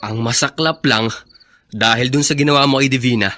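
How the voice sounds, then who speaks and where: A man's voice: mid-range voice, fairly even intonation, fairly quick, pushed and bright, natural voice. A man playing a sly confidant who eggs on schemes in a Filipino radio drama, conversational and insinuating, with a Filipino accent. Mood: sly, goading. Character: sly male confidant, coolly egging on a scheme.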